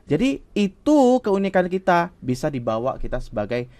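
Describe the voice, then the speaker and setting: A man speaks with a Malay-tinged Sumatran accent, coaching listeners on communication. His explanations swing lively in pitch as he acts out what other people might say. The sound is close and dry, like a studio mic. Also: moderate pace, no room sound. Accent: Malay-tinged Sumatran Indonesian. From Riau Islands, Indonesia. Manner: explanatory, animated, acts out quoted speech. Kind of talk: podcast interview answers.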